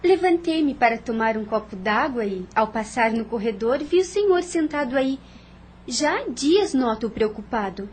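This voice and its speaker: A woman's voice: on the high side, expressive, normal speed, slight background noise, lightly acted. An actress playing a sweet, earnest young daughter who pleads with her father in an audio drama. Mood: curious.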